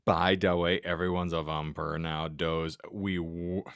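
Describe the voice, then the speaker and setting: A man hosting a podcast, giving a theatrical, deliberately stumbling comic reading of fan fiction. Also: deep voice, flat delivery, a bit bright, clean tone, no echo, clean studio sound. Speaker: podcast host.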